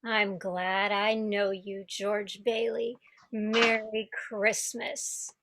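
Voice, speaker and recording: A woman playing a wistful but resolute small-town young woman in a radio play, speaking in a measured way. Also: medium pitch, little pitch movement, noisy background. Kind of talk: community theatre radio play acting. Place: home, over video call.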